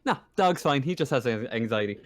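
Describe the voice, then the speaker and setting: A man's voice: mid-range voice, expressive, fairly quick. A man running a tabletop game casually as game master, hesitant and rambling, with an apologetic manner.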